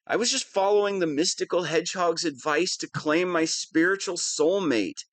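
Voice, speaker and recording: A man's voice: high-pitched, lively intonation, moderate pace, dry recording. A man playing a whiny, lovestruck young wannabe villain in an audio roleplay, petulant and defensive. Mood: sheepish. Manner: petulant, defensive, swinging between sheepish mumbling and indignant outbursts. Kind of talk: scripted audio roleplay monologue.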